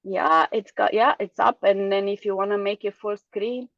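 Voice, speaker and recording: A woman's voice: mid-range voice, fairly even intonation, normal speed, no room sound. A woman hosting an online session, speaking informally as she introduces a speaker over a video call. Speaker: session host. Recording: dull, dry video call audio.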